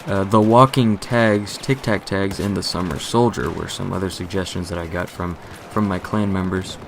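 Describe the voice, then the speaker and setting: A young man who hosts a gaming channel, talking through a casual channel update in a monotone voice, rambling, correcting himself and joking along the way. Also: deep voice, normal speed, creaky, a little hiss or hum.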